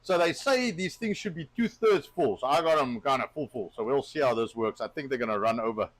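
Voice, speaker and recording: Animated man, joking co-host baking live. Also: mid-range voice, a little fast, dry recording, rough recording. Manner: lively, joking, talks while working. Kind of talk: casual livestream chat while baking.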